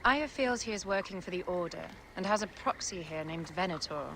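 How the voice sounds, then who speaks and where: Imperious egyptian queen, composed game cutscene voice on the low side, noisy ambience behind. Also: lively intonation, a little fast, fairly bright tone. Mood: calculating. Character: regal, calculating young Egyptian queen, commanding. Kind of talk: video game cutscene acting.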